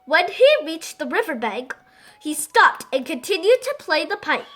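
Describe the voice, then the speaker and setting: A woman reading a children's story aloud as an expressive, scripted narration. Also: extremely high pitch, moderate pace, soft background music.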